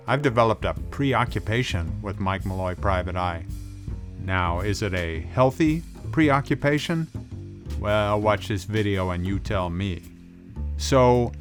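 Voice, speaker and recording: A man's voice: lowish voice, a bit bright. A man hosting a scripted video essay in a conversational style, as a film commentator.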